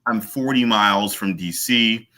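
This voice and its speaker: A man's voice: on the low side, unhurried, bright, forceful tone. A man from Virginia, a guest author in an interview, who talks in a thoughtful, hesitant way with many fillers. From Virginia, USA. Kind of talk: casual interview.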